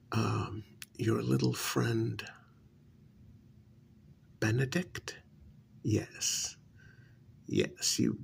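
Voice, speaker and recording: A cruel high-society vampire , played by a man, toys with the listener in an ASMR role-play. The voice is deeply creaky and breathy, often falling to a whisper, and it crawls along very slowly with swooping, theatrical pitch. The delivery is haughty, condescending and darkly amused. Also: full character voice. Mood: coldly cruel.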